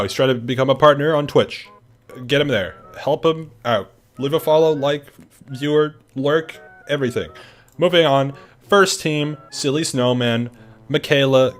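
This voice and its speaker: A man's voice: medium pitch, fairly bright tone. A young man who is sick and losing his voice, hosting a casual team announcement in an upbeat, rambling way with lots of fillers. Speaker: event host announcing teams.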